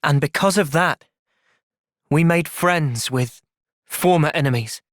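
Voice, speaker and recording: Earnest young English soldier hero, close and dry. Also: male voice, fairly high pitch, slow pace, a bit bright, no echo. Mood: calm, earnest. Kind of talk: video game cutscene voice acting.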